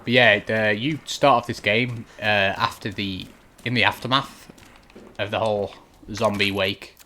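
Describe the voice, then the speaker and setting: A man's voice: on the low side, steady tone, unhurried, bright, forceful tone, a little hiss or hum. A man giving a casual walkthrough commentary as he plays a video game. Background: game sounds.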